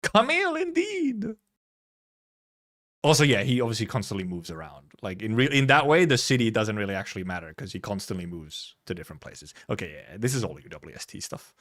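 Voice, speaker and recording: A man's voice: on the low side, expressive, fast talker, hardly any pauses, fairly bright tone. A man streaming a casual game trailer reaction, rambling playfully, repeating himself and swearing casually. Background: faint music.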